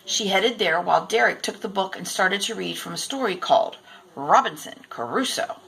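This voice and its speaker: A woman reading a children's storybook aloud in expressive, deliberate narration. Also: medium pitch, creaky, rough recording. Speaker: storybook reader.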